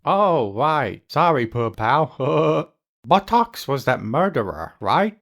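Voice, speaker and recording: A man voicing a bumbling, slow-witted, matey police detective in a video game dub. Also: medium pitch, very animated, vocal fry, a bit bright, full character voice. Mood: oafish.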